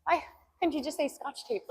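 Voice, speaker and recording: A woman's voice: high voice, measured pace, a little mellow. Laughing woman streaming from a gym. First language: Albanian. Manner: laughs often, reads chat aloud between sets. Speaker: fitness streamer. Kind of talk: casual live-stream chat while working out.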